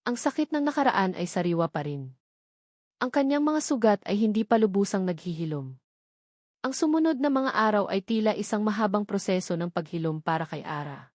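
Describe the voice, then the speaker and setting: A woman's voice: medium pitch, lively intonation, a little fast, a little mellow, no echo, studio quality. A woman with a Filipino accent reads a scripted romance story as a dramatic narrator.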